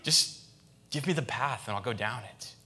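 A man delivering a sermon with a bright, forceful voice at a middling pitch. He talks in a loose, conversational way, often restarting his phrases. His tone stays informal even when he explains scripture. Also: moderate pace, slight background noise. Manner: conversational, many restarts and fillers. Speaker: pastor.

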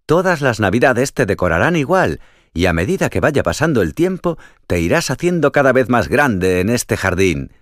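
A man's voice: medium pitch, very animated, moderate pace, no echo, studio quality, voice pushed up, lightly acted. A man voicing a big friendly thrush in a children's story, calling out in an encouraging, reassuring way. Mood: cheerful, reassuring.

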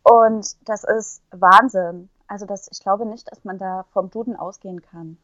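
A woman's voice: mid-range voice, fairly even intonation, moderate pace, fairly bright tone, no echo, highs cut off. A young woman from the Erzgebirge in Saxony with a faint Saxon accent, chatting as a podcast guest with plenty of fillers and hesitations. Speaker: interview guest. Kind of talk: casual podcast chat.

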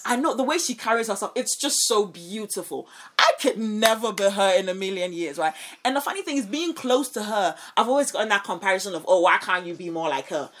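A woman's voice: medium pitch, expressive, brisk, hardly any pauses, fairly bright tone. A woman fashion designer talking about faith and creativity as a podcast guest, emphatic and repetitive, often asking if you know what she means. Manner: emphatic, repeats key phrases, asks "do you know what I mean". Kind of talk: faith-based podcast conversation.

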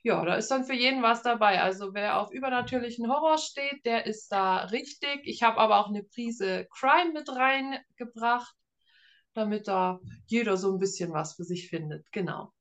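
A woman around thirty talks as an author in a relaxed interview setting. Her pitch is a little high. She explains things informally, in everyday conversational phrasing. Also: moderate pace. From northern Germany.

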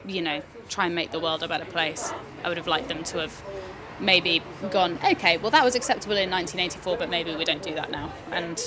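A woman's voice: on the low side, fairly quick, hardly any pauses. A woman co-hosting a podcast, chatting casually about films with many restarts. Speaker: podcast co-host. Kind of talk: casual film review chat. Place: pub. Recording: noisy pub with crowd. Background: pub crowd chatter.

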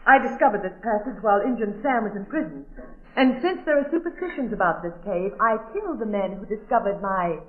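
An actress playing a cold, determined female killer with formal, deliberate menace in an old radio drama. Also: mid-range voice, expressive, natural voice. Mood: defensive, confessing. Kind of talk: 1940s radio drama acting. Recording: tinny, band-limited old radio recording.

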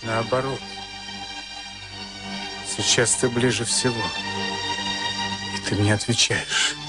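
An actor playing a brooding boxer who survived a concentration camp, speaking hesitantly and confidingly in a Soviet radio play. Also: male voice, mid-range voice, little pitch movement, takes it slowly, natural voice. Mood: brooding, intimate. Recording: archive radio play, loud music behind. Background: loud music.

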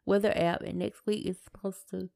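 Creaky female voice, middle-aged, dry close sound, colloquial podcast chat. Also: mid-range voice, steady tone, normal speed, warm tone, dry recording. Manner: colloquial, conversational. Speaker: podcast co-host. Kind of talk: casual chat.

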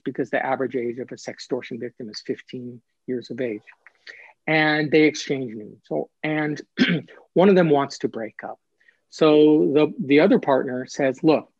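A man's voice: mid-range voice, vocal fry, a little mellow, husky, dry recording, dull audio. A man speaking as an author being interviewed, explaining things in an earnest, emphatic way and repeating phrases for stress.

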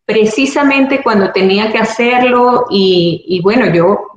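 A woman with a Venezuelan Spanish accent answers interview questions in an earnest, reflective way. Her voice rings around a strongly reverberant room with a faint hiss beneath it. Her pitch sits in an ordinary middle range. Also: unhurried. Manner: earnest, thoughtful, grateful tone. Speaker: actress and producer, interview guest. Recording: very echoey room, faint background noise.

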